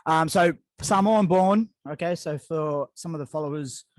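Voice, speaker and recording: A man hosting a casual podcast interview, relaxed and rambling with lots of fillers. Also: fairly high pitch, normal speed, no echo. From Australia. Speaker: podcast host. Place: living in Dubai.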